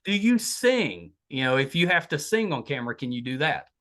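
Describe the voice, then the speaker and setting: A man's voice: fairly high pitch, big pitch swings, moderate pace, fairly bright tone. A middle-aged man hosting a casual podcast interview, chatty and tripping over his words. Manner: chatty, stammering restarts, laughs at own stories. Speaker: podcast host.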